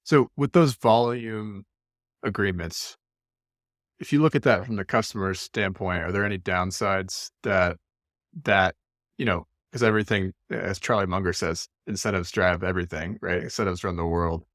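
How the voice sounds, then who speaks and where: A man's voice: low-pitched, normal speed, a bit bright, dry recording, studio quality. A man hosting a podcast interview, asking long, thoughtful questions with small hesitations. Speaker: podcast host.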